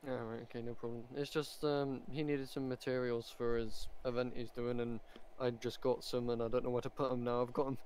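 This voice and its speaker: A man's voice: medium pitch, flat delivery, fairly quick, darkish tone. Casual man on faintly noisy voice chat. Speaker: roleplay caller on an in-game phone.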